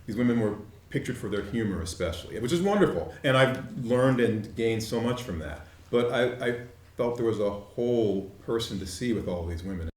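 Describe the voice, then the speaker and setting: A man explaining his film work in an earnest, slightly halting interview style. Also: mid-range voice, animated, darkish tone. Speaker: documentary filmmaker. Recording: echoey, distant, noisy room.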